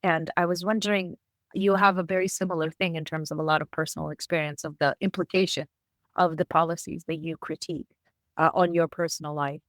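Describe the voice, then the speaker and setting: A woman from Israel interviewing a podcast host, speaking in a thoughtful, measured way. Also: low voice, steady tone, dry recording. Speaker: guest interviewer. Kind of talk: conversational interview.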